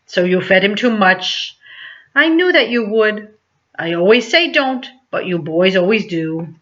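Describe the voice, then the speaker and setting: Knowing older-brother-free scolder, male storybook fish keeper read by a woman, resigned, bright, dull capture. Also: medium pitch, expressive, a little slow. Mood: chiding, resigned. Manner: chiding, knowing. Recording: dull lo-fi sound.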